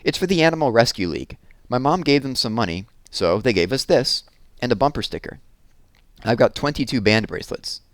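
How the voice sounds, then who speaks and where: Man voicing a cool, offhand schoolgirl, animated pitch. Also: a little fast, no echo. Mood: chatty. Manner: offhand, laid-back. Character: cool, casual, skeptical grade-school girl. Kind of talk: children's storybook read-aloud.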